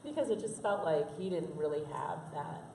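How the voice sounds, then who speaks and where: Low, gravelly-voiced hoarse woman. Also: normal speed, dark tone, slight background noise. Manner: frank, chatty, laughs often. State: hoarse from allergies. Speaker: interview guest, mother. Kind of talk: candid conversational interview. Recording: echoey room from a distance.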